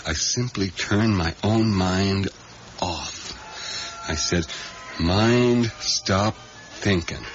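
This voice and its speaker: An actor playing a haunted, obsessive diner worker in a radio drama, with folksy, plain-spoken rural speech and hesitant, repetitive, intense storytelling. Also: male voice, low voice, extremely slow, soft background music. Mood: hushed, entranced. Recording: dull, noisy 1980s radio recording.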